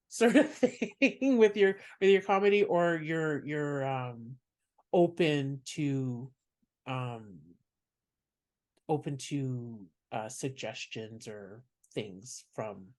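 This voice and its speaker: A man from Canada with a soft voice, a stand-up comedian speaking as a podcast guest in reflective, unhurried talk. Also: mid-range voice. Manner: reflective, explains at length. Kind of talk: casual interview chat.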